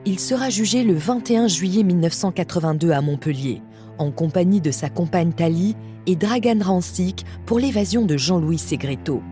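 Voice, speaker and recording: A woman's voice: fairly low pitch, normal speed, dark tone. A woman reading a scripted true-crime story as a podcast narrator. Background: music.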